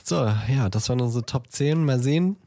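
A man's voice: medium pitch, fairly quick, mellow, no echo, studio quality. A man co-hosting a podcast, chatting casually about films and often searching for words. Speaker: podcast co-host.

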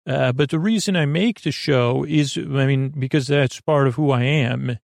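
A man hosting a bedtime podcast in a soft, gentle, rambling monologue, halting often and circling back as he loses his thread. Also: mid-range voice, vocal fry, no echo, clean studio sound. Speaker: podcast host.

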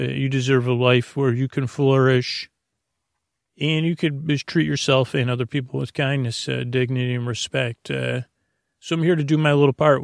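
A man hosting a bedtime podcast, rambling in a halting, meandering, self-interrupting way with a creaky voice. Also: mid-range voice, no room sound, clean studio sound. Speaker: podcast host.

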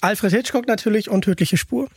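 A man's voice: high-pitched, brisk, breathy edge, no echo. A man hosting a casual fan podcast, chatting in an enthusiastic way. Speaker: podcast host.

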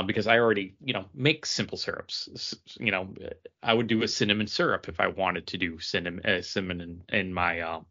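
A man's voice: on the low side, sharp tone, lo-fi sound. A man co-hosting a podcast, chatting casually and explaining things in detail. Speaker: podcast co-host. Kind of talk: casual podcast chat about cocktails.

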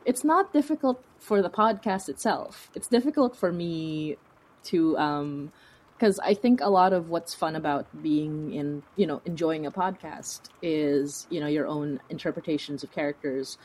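A woman with a distinctly low-pitched voice speaks as a guest in a relaxed interview. She is chatty and informal, working out her thoughts aloud as she talks. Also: unhurried, poor audio. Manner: chatty, informal, laughs while talking, thinks aloud. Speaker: interview guest, fiction podcast creator. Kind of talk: casual conversational interview.

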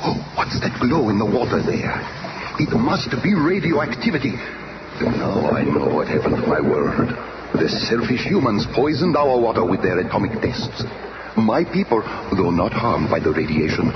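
An actor playing a proud, vengeful undersea prince in a radio superhero drama, with grandiose, declamatory delivery. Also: male voice, lowish voice. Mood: anguished. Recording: muffled old radio broadcast, heavy noise.

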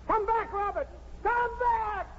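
A dull vintage radio recording with a male religious zealot, extremely high and eerie. He draws out each phrase slowly, chanting like a fervent preacher. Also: over loud music. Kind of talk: 1950s radio drama acting. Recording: muffled old radio broadcast.

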